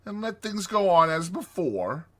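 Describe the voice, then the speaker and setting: Man as timid storybook mole, raised pitch, polite, earnest, candid, tentative phrasing. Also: very animated, unhurried, fairly bright tone, heavily acted. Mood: apologetic, hopeful. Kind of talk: dramatized storybook reading.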